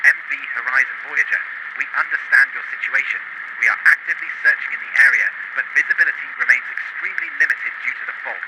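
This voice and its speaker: A man's voice: medium pitch, quick pace. A man playing a formal Coast Guard radio operator, speaking in clipped, procedural phrases through a radio filter. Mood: steady, procedural. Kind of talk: scripted maritime radio dispatch acting. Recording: heavy static noise, maritime radio.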